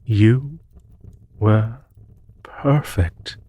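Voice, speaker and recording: A man playing a solemn, wistful demon emperor in an audio roleplay, speaking in a husky voice with slow, measured, sorrowful pauses. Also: on the low side, dark tone, exaggerated voice. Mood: reverent. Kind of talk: scripted audio roleplay monologue.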